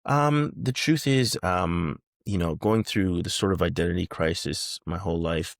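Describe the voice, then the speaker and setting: Low gravelly man in his thirties. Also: no echo, clean studio sound. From Canada. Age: 30s. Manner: hesitant, many fillers and restarts. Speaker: guest. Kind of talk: casual interview conversation.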